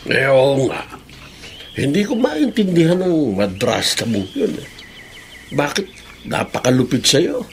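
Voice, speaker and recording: A man's voice: mid-range voice, big pitch swings, unhurried, gravelly, long pauses, a little hiss or hum. An actor playing a kindly, doting old farmer grandfather in a Filipino radio drama, speaking in a folksy, concerned way. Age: older.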